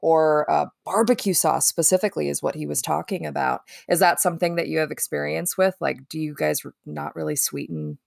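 A woman's voice: deep voice, fairly quick, gravelly, few pauses, a little mellow, dry recording, studio quality. A woman from the Midwest hosting a podcast, chatty and teasing, laughing often. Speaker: podcast host. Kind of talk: casual chat.

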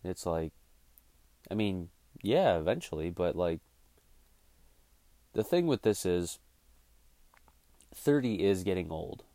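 A man's voice: deep voice, big pitch swings, slow, pauses often, warm tone, dry recording. A man in his thirties hosting his own show, talking casually in a blunt, swearing rant. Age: 30s. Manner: blunt ranting, swears freely. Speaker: podcast host. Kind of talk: casual monologue.

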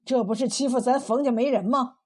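A woman storyteller voicing a scheming, bullying village husband in a mocking, forceful manner. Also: sits high, fairly even intonation, brisk. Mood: angry, sneering.

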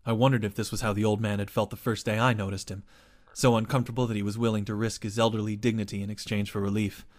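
A man's voice: lowish voice, little pitch movement, quick pace, darkish tone. A man reading his own first-person short story as dramatic scripted narration.

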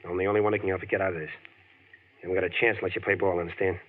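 Brief: man as low-voiced, hard-boiled heavy, 1940s radio, tinny. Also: little pitch movement, racing, natural voice. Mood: coaxing. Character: tough-talking man, pursuing a desperate fugitive. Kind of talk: 1940s radio drama acting. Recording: tinny old radio broadcast, faint hiss.

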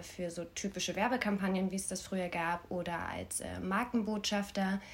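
A woman's voice: mid-range voice, animated, normal speed. A young adult woman from Berlin talking casually and candidly as a podcast guest about her own experiences. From Berlin, Germany. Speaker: podcast guest, influencer marketer. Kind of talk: casual conversational interview.

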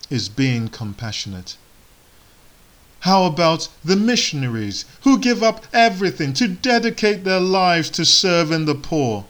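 A man whose first language is Igbo, giving a sermon in slow, measured phrases with solemn pauses. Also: high voice, a bit bright. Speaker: preacher.

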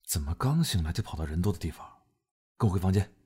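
A man's voice: deep voice, animated, fast talker, darkish tone, a little hiss or hum. A man playing a reserved, commanding young military officer in an audio drama, speaking tersely. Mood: stern. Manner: terse, restrained. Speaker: voice actor. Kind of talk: modern Chinese audio drama acting.

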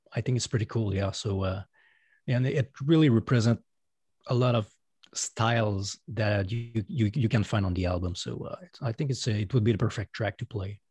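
A man's voice: lowish voice, darkish tone, no echo. A man from Quebec with a French Canadian accent, French as his first language, chatting hesitantly as a guest musician with many fillers and restarts. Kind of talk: casual podcast chat.

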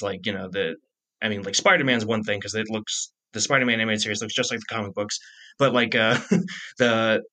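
A man in his thirties hosting a podcast, chatting casually in a halting way with frequent false starts. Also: on the low side, bright, forceful tone. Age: 30s. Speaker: podcast host. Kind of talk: casual conversational interview.

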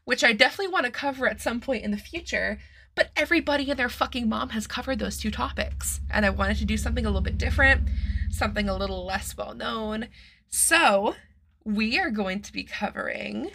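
A woman's voice: medium pitch, vocal fry, sharp tone. Chatty, slightly nasal woman podcaster. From Massachusetts. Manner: chatty, swears freely, laughs often. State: slightly nasal and strained from illness. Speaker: podcast host. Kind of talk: casual true-crime podcast chat.

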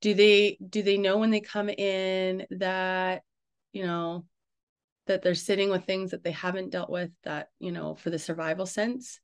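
A man hosting a casual interview, talking informally and haltingly as he thinks aloud. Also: sits high, level tone, smooth. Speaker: interviewer, host.

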